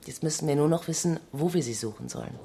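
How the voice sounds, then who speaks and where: A matter-of-fact police detective in a radio thriller. Her voice is strikingly low, with a dry, sceptical edge. Faint background noise sits behind her. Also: lively intonation, fast, gravelly. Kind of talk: modern German radio crime drama acting.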